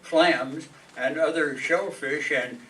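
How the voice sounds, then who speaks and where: A man playing a know-it-all relative who speaks in a deliberate, informative way in a radio comedy play. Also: a little high, a bit bright. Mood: matter-of-fact. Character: know-it-all male relative reciting history facts. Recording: noisy, distant stage microphone.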